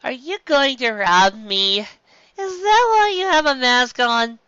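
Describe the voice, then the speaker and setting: A woman's voice: high voice, animated, slow pace, bright, forceful tone, clear, resonant tone, dry recording, lo-fi sound, voice pushed up, heavily acted. A woman voicing a childlike young male cartoon pirate captain, woozy and giggly, in a slurred drawl. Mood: woozy, whiny.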